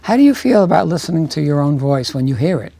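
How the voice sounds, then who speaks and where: A male interviewer with a fairly high voice asks his guest questions in a curious, conversational way. He sounds relaxed and easygoing. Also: fast talker, vocal fry. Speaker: interview host.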